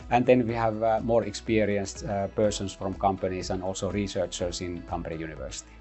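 A middle-aged man explaining a chip design program in an office, pausing often with fillers. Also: sits low, fairly even intonation, darkish tone, soft background music. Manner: measured, many "uh" pauses. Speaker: university computing head. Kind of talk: interview explanation. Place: office lab with whiteboard, indoors.

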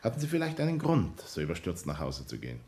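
A man's voice: low-pitched, wildly swooping pitch, fast, a little mellow, heavily acted. An actor playing a probing, sceptical police inspector in a radio play, terse and commanding as he interrogates. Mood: suspicious, pressing.

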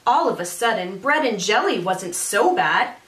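Expressive woman reading a storybook aloud, echoey. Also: medium pitch, moderate pace. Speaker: fifth grade teacher reading aloud. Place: small indoor room with brick wall. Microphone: camera a few feet away. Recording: echoey room, mic at a distance.

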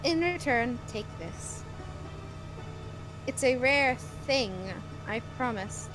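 A woman's voice: high-pitched, expressive, slow pace, rough recording. A woman voicing a grateful, friendly female townsperson from a fantasy game, with gushing manner. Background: video game music.

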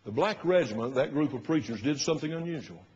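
A husky-voiced man, pitched fairly high for a man. His delivery is emphatic and oratorical. The sound is muffled, with the long reverb of a big church hall. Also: animated, quick pace. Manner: emphatic, oratorical, rising to loud bursts and dropping low. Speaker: pastor preaching. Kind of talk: fiery sermon. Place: large church sanctuary, at the pulpit platform.

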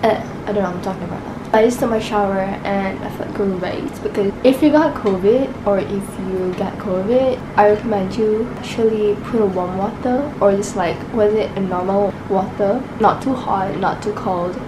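A woman's voice: medium pitch, moderate pace, hardly any pauses, background noise. A sick young woman with a Malaysian English accent, vlogging to camera from her bedroom while coughing and losing her voice, mixing Malay words into her English. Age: young adult. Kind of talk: casual vlog chat to camera. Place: bedroom at home, indoors. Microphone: camera mic, camera held close or on a tripod.

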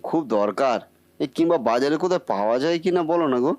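A man's voice: on the high side, expressive, fast talker, darkish tone, no room sound, lightly acted. A man voicing a bumbling, boastful cartoon husband who cannot cook, muttering theatrically to himself. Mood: baffled, fretful. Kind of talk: comic Bengali cartoon acting.

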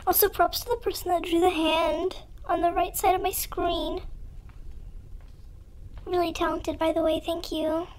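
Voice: level tone, measured pace. A youthful gamer with a voice-changer effect, giving casual, dramatic and playfully self-deprecating gameplay commentary. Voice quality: high-pitched, youthful voice, altered with voice effects. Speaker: gaming video creator.

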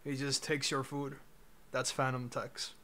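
A man's voice: medium pitch, monotone, unhurried, pushed and bright. A young man in his twenties, casually chatting with his chat on a livestream through a headset, laid-back and joking. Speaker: streamer.

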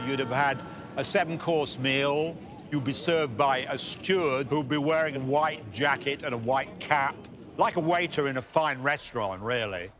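A man's voice: mid-range voice, normal speed, over music. An older man recounting and reading aloud in a busy departure lounge. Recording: clear noise of public hall.

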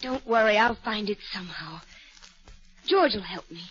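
A woman's voice: mid-range voice, lively intonation, moderate pace, fairly bright tone, breathy edge. An actress playing an earnest young woman pleading for her father's innocence in a 1940s radio drama. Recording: dull lo-fi vintage radio broadcast.

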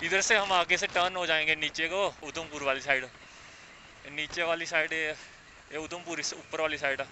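A young man in his twenties chatting casually and excitedly while riding a scooter, recorded outdoors on a clip-on mic. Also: a little high, normal speed. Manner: chatty, excited, repeats "bhai" and "yaar". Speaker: motovlogger. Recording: wind and traffic noise, dull lo-fi sound.

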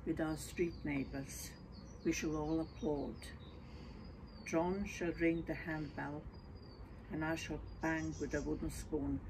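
An older woman from Serbia, reading poetry in measured, deliberate phrases. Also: low voice. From Belgrade, Serbia. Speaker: poet. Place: outdoors in a leafy garden. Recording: noisy outdoor ambience.

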